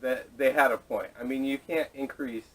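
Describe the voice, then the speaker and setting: A man hosting a casual podcast discussion, speaking haltingly with restarts and casual swearing, picked up on a camera microphone. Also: medium pitch, steady tone, a bit bright. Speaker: podcast host introducing topics. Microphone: camera mic, away from speakers. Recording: distant camera microphone audio.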